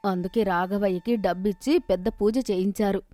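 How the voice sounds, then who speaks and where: A woman's voice: medium pitch, fairly even intonation, normal speed, warm tone, no room sound, faint music behind, natural voice. Woman playing a cautioning village mother, dry sound. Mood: worried. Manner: chiding, cautioning. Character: worried, superstitious village mother of grown son. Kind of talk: animated moral story acting.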